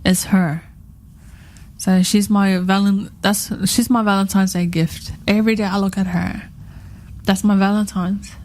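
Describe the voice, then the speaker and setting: A woman's voice: mid-range voice, flat delivery, a little slow, darkish tone. A woman in her thirties co-hosting a radio chat show, talking in a rambling, heartfelt way and repeating herself often. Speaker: radio co-host.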